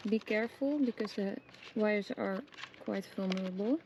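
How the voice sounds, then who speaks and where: A woman presenting a casual hands-on tutorial, giving simple step-by-step instructions with hesitant pauses. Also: medium pitch, a little mellow. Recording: clearly noisy background with clicks.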